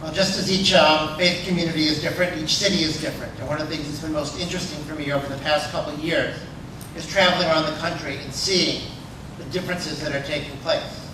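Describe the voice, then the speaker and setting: A man speaking on an interfaith panel in a measured, articulate way. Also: a little high, steady tone, noisy background. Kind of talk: unscripted panel discussion. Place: large hall. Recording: echoey hall from far off.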